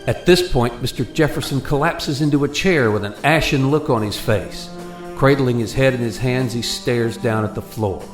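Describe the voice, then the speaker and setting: A man's voice: medium pitch, normal speed. A man narrating a scripted radio play in a solemn, dramatic storytelling manner. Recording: roomy echo. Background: music.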